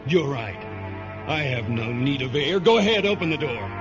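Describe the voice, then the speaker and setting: A man's voice: mid-range voice, expressive, moderate pace, close to an everyday voice. An actor playing an accused man insisting on his humanity in a sci-fi radio play, intense and emotional. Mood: menacing calm. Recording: dull lo-fi audio, loud music behind. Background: loud music.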